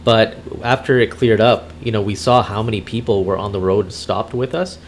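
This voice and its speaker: A man with a fairly low voice talks casually, as if improvising a roleplay while gaming. He is halting and often repeats words as he thinks, with some noise in the background. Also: steady tone. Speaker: gamer, video host roleplaying a truck driver.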